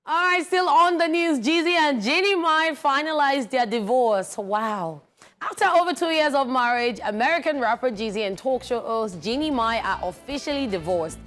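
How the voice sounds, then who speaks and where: A woman from Nigeria co-hosting an entertainment show, reading celebrity news briskly with lively emphasis. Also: high-pitched, soft background music.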